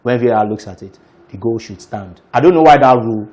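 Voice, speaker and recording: A man's voice: medium pitch, expressive, quick pace, darkish tone. A man with a mid-range voice gives football analysis on a sports talk show. He explains his points firmly and assertively, walking through his reasoning step by step.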